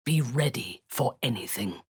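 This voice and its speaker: An actress playing a haughty, ruthless dark elf warrior in a video game, speaking in an imperious, measured, menacing way. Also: female voice, extremely low pitch, animated, breathy edge, no room sound, clean studio sound, full character voice. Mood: cold, commanding. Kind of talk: video game character voice lines.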